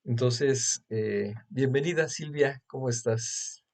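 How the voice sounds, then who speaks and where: A man's voice: mid-range voice, slow pace, creaky, darkish tone. A man with a Mexican accent hosting a casual religious interview over a video call. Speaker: host.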